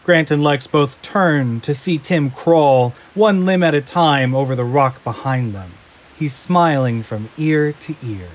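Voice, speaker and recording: Higher-pitched male audio drama narrator. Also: a little slow, rough recording.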